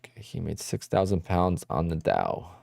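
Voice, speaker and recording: A man from New Jersey talks through his livestream in a loose, rambling way. His voice sits very low with a dark, mellow tone, and the recording is close and dry with no room sound. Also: big pitch swings, moderate pace, creaky, dry recording. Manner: rambling, conversational, answers chat questions. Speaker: day trading livestream host. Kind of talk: casual livestream chat.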